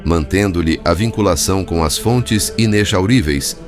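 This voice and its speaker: A Brazilian man reading a spiritist book as scripted narration, in a formal, measured manner. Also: sits low. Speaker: audiobook narrator. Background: background music.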